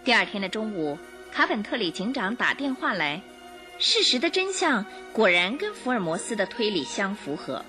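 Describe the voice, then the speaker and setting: A woman's voice: medium pitch, very animated, normal speed, bright, forceful tone, soft background music. A woman narrating a radio drama in a scripted storytelling style.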